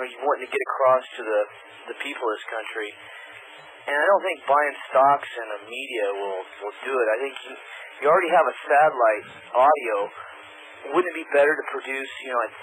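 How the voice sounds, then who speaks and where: A man talks quickly and casually as a caller on a radio show. His voice sits at middle pitch, heard over a telephone line with faint noise. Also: fairly bright tone. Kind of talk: casual phone-in chat.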